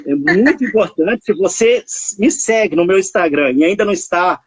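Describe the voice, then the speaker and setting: A man from hosts a live stream in casual chat. His voice sits high and swings widely in pitch. It has a husky, dry sound, and he leans on tag questions. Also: normal speed, no room sound. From Minas Gerais, Brazil. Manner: warm, chatty, animated, frequent tag questions.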